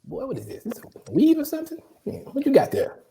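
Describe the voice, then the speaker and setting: A woman's voice: high voice, huge pitch swings, quick pace, creaky, warm tone, a little hiss or hum, heavily acted. A woman playing an opinionated, boastful old male barber in a comedy table read, folksy and argumentative. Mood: teasing.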